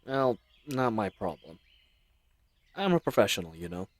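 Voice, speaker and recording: A man's voice: lowish voice, very animated, moderate pace. A man playing a chatty, roguish bandit leader in an audio roleplay, with folksy slang and teasing mock courtesy. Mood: chatty, matter-of-fact. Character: roguish, chatty, friendly male bandit leader, mockingly polite. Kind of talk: scripted audio roleplay addressed to the listener.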